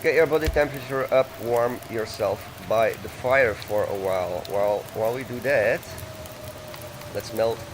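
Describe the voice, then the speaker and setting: A man's voice: medium pitch, moderate pace, noisy background. A man casually commenting on his game as he plays, thinking aloud and reading text from the screen. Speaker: let's play gamer.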